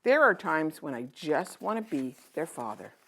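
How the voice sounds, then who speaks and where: Woman voicing a kindly traveling king, animated intonation. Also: low voice, normal speed, heavily acted. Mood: reflective. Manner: gentle, fatherly, reflective. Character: kind, weary traveling king disguised as a merchant. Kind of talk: children's storybook read-aloud.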